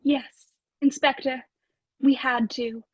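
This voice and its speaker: Woman acting a high-voiced, fretful London landlady. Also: level tone, slow, husky, no room sound, muffled recording, heavily acted. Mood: shaken, distressed. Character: anxious, fretful London landlady and wife, old radio mystery. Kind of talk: radio play acting.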